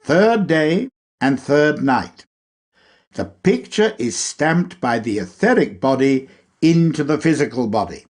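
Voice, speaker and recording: A man reading a spiritual lecture aloud in a deliberate, measured way, with emphatic pauses. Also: a little high, animated, darkish tone. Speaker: reader.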